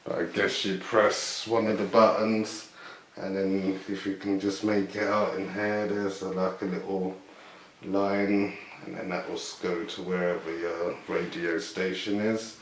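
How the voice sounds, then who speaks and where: A man's voice: low-pitched, level tone, unhurried, slight background noise. A man casually presenting a show-and-tell video, unscripted and tentative, often guessing as he goes. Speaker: video host showing vintage items. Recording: echoey room.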